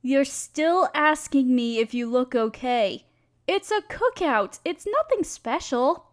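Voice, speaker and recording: A woman's voice: high-pitched, expressive, slow pace. A woman playing an affectionate, reassuring girlfriend in a roleplay audio, speaking sweetly and tenderly to a partner. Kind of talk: roleplay audio acting, addressing the listener as partner. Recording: noisy park and fireworks effects. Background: park sounds, fireworks.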